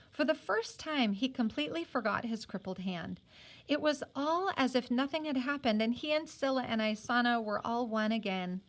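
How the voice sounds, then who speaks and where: Woman teacher reading a kids novel out loud, voice a bit high, expressive storytelling. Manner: acts out the characters' speech. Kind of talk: expressive storybook read-aloud.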